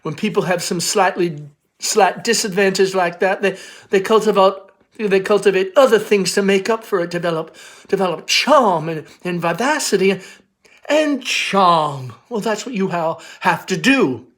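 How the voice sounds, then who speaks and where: A man's voice: high voice, moderate pace, fairly bright tone. A man voicing a domineering, anxious middle-aged Southern mother in an emphatic, theatrical stage play reading. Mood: insistent scolding.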